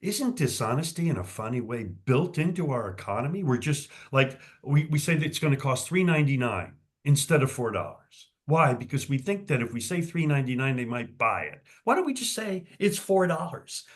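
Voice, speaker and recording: A man's voice: medium pitch, sing-song, normal speed, hardly any pauses, husky. A man hosting an interview, enthusiastic and posing thoughtful questions to his guests. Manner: enthusiastic, asks musing questions. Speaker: host.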